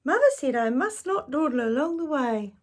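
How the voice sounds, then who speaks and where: A woman voicing a small, eager, polite young girl in a storybook read-aloud, with a high, breathy girlish voice. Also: big pitch swings, moderate pace. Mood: earnest.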